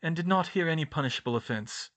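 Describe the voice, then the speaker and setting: A man doing a calm, gentle old headmaster wizard. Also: on the high side, pitched above the performer's own voice. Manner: calm, measured, formal.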